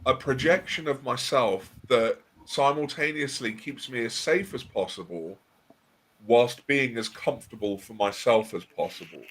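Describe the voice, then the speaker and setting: Male livestream host, husky tone, thoughtful and halting delivery, relaxed chat. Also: mid-range voice, rough recording. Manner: thoughtful, hesitant restarts. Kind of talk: casual discussion.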